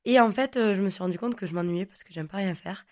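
A woman's voice: medium pitch, fast talker. A young woman in her twenties from the Languedoc, whose first language is Occitan, answering interview questions in a chatty, rambling way with many fillers and restarts. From Languedoc, southern France. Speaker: guest, online content creator.

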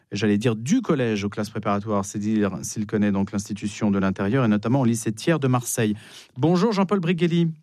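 Male radio presenter, live interview delivery, medium pitch, close dry studio sound. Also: darkish tone, no room sound. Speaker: radio host.

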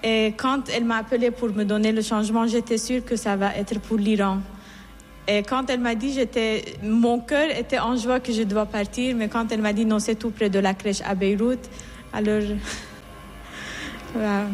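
A middle-aged nun from Iran, reverberant hall. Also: female voice, a little high, little pitch movement, normal speed. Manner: earnest, reflective storytelling with restarts. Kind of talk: personal testimony in an interview.

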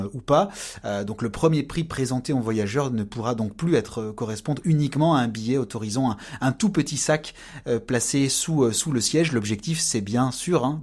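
A man's voice: medium pitch, a little mellow. Man explaining, full of fillers. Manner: explanatory, frequent fillers and repeats. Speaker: radio columnist on European affairs. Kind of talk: informative radio segment.

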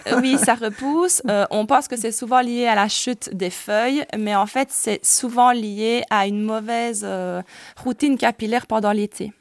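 A woman's voice: a little high, unhurried, no echo, clean studio sound. A woman in her forties, a hairdresser and salon director, speaking earnestly as a guest on a radio interview, with frequent hesitations. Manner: earnest, hesitant with many "euh".

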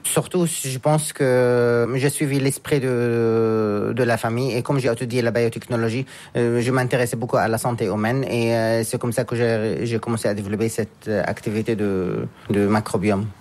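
A man from France, raised in Japan, answering interview questions in a halting way as he searches for words. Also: medium pitch, steady tone, a little mellow. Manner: halting, searching for words, with frequent pauses and restarts. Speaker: guest, scientist and businessman.

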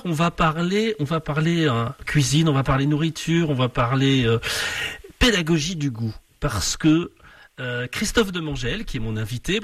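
A man's voice: fairly high pitch, a bit bright, no room sound, far from the mic. A man hosting a radio magazine and interviewing a guest, hesitating often and restarting his sentences. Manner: hesitant, many fillers and restarts.

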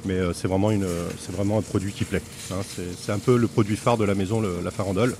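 A man's voice: on the low side, fairly even intonation, fast, noisy background. A middle-aged French man who owns a café, chatting casually in an interview with many fillers and restarts. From France. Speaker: café owner.

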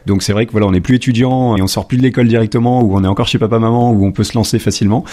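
A French man in his forties, a company founder answering interview questions in a measured way with many hesitations. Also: fairly low pitch, fairly even intonation, mellow. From France. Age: 40s.

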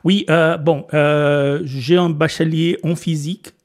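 A man's voice: on the high side, fairly even intonation, takes it slowly. A middle-aged Brazilian man with a Portuguese accent, speaking French as a guest in a radio interview, hesitant with many fillers and restarts. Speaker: physics lecturer, guest.

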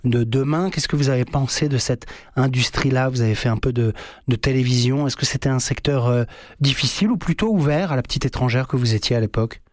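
A man's voice: medium pitch, brisk, no echo, studio quality. A man hosting a radio interview, asking long, winding questions full of fillers. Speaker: radio host.

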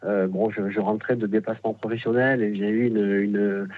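A man's voice: lowish voice, little pitch movement. Hesitant man on a tinny phone line. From southern France. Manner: halting, hesitant, many fillers and restarts. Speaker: caller. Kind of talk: phone-in conversation. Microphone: telephone.

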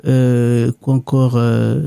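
A man's voice: mid-range voice, little pitch movement, slow, gravelly, warm tone, smooth, dry recording. A man from DR Congo whose first language is Lingala, speaking French with a Congolese accent as a radio guest, hesitant with many fillers and restarts. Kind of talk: radio interview.

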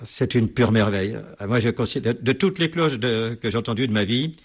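Husky-voiced man explaining bells. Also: medium pitch, a little fast. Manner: hesitant, many fillers and restarts. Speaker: bell expert guest. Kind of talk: radio interview, explanatory.

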